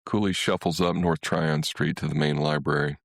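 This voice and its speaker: A man's voice: very deep voice, steady tone, normal speed, gravelly, dry recording, clean studio sound. A man reading a literary short story as scripted narration.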